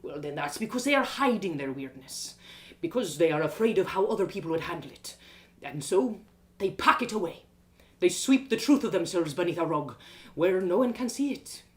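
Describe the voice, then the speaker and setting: A woman voicing a wise, gentle old village man in a storybook, speaking slowly in a measured, fatherly, philosophical way. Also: lowish voice, animated, close to an everyday voice. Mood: calm, knowing.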